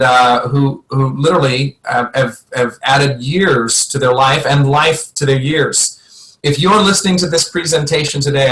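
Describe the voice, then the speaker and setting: A man's voice: on the high side, a bit bright. A man hosting a sales webinar, talking in an upbeat and persuasive way. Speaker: webinar host, product presenter. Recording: echoey room.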